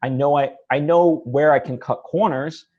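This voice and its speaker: A man hosting a casual interview, talking haltingly as he thinks aloud, peppering his speech with filler phrases. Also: a little high, highs cut off. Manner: halting, thinking aloud, heavy use of "like" and "you know". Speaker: interview host, independent filmmaker. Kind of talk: casual chat.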